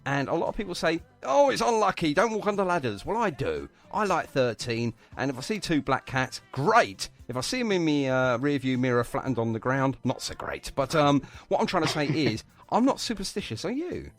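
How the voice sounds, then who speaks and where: A man's voice: fairly high pitch, very animated, a little fast, hardly any pauses. A man hosting a live radio interview in an effusive, jokey way, chatting to guests. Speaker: radio host.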